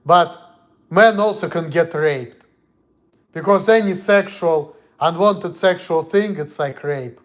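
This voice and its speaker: A man's voice: a little high, takes it slowly. A man from Russia with a Russian accent, talking bluntly and heatedly on a casual livestream in broken English. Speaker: streamer.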